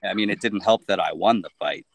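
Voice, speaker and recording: A man in a band, a guest on a podcast, answering in a relaxed, laid-back way. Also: low-pitched, a little fast, a little hiss or hum. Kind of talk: casual interview chat.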